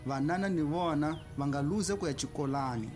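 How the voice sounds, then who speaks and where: A man's voice: fairly high pitch, normal speed, music in the background, natural voice. A man playing a grateful villager. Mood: conversational. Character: villager grateful for his mosquito net. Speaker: radio drama character.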